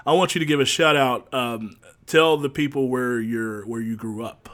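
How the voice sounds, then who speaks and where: A man hosting a casual dating talk show, relaxed and joking, with a drawl. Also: medium pitch, normal speed. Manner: easygoing, joking, drawls y'all. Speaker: host.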